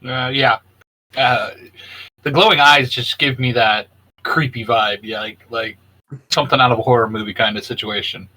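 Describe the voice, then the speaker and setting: A man with a bright, forward voice at an ordinary pitch co-hosts an informal conversation. He chips in with short, casual reactions and opinions. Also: fairly even intonation, moderate pace, rough recording. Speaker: co-host.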